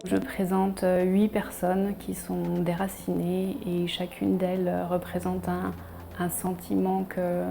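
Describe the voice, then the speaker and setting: A woman with an accent from France speaks reflectively about herself in a documentary interview, often halting mid-phrase. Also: fairly low pitch, monotone, vocal fry. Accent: French from France. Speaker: painter, interviewee. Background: background music.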